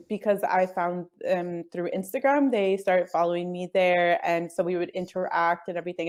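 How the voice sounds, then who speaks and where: A woman who grew up speaking Spanish first, talking in an earnest, easygoing way as a guest on a call. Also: lowish voice. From United States. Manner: earnest, easygoing, with soft laughs and hedges like "I guess". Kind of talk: casual interview chat.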